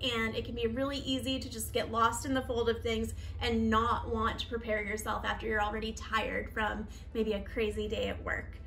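A woman's voice: fairly high pitch, bright, forceful tone. A young woman giving a chatty, upbeat cooking demonstration in her home kitchen, talking while she prepares food. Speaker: behavior analyst. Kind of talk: cooking demo with tips.

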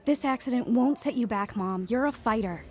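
An actress playing a caring, supportive grown daughter in a radio drama. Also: female voice, a little high, moderate pace, soft background music, close to an everyday voice.

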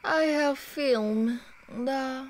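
Cool, mercenary woman of mystery, Russian accent, audio play; fairly high voice, very slow delivery. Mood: cryptic, guarded. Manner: cool, cryptic, terse. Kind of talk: audio drama acting.

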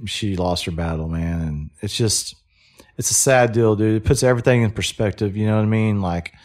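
Easygoing man, low voice. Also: a little fast, darkish tone. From Texas. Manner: easygoing, keeps saying "you know what I mean". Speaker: podcast guest, hat shop owner. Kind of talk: casual chat.

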